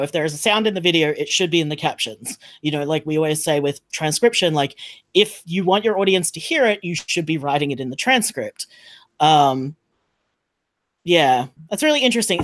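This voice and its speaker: A woman's voice: deep voice, dry recording. A woman talking casually as a panelist in a podcast discussion.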